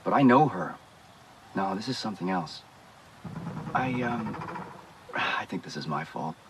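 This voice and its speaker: A man's voice: deep voice, animated, a little slow, noisy background. An actor playing a worried, guarded but caring man in a video game, speaking hesitantly and conversationally. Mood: rueful, concerned. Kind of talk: naturalistic video game acting.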